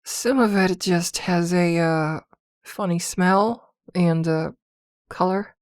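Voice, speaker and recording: Woman voicing a slow, low-toned possessive witch girlfriend. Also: clean tone, no echo, clean studio sound. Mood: flustered, exasperated. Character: possessive, protective witch girlfriend, doting yet jealous. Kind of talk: ASMR girlfriend roleplay audio drama.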